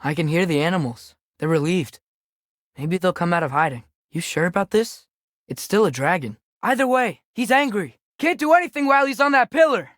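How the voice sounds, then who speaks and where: A man's voice: high-pitched, expressive, fairly quick, fairly bright tone, no room sound, clean studio sound. A young male actor with an American accent playing an earnest, curious teenage boy adventurer in a video game, with a light youthful voice and casual, observant delivery. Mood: thoughtful, wondering, then urgent shouting. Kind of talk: video game companion dialogue.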